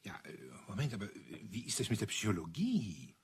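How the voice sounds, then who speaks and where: A man's voice: fairly low pitch, very animated, a little fast, breathy edge, full character voice. An actor playing a flustered, astonished man in a German radio crime drama, questioning hesitantly and stammering. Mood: doubtful. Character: flustered, astonished male listener to a detective's solution.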